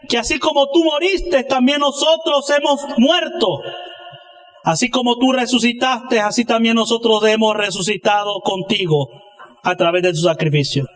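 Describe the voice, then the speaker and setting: A man's voice: extremely high pitch, a bit bright. A man delivers a sermon as a pastor. He speaks emphatically, building his points through rhetorical questions and answers addressed to his congregation.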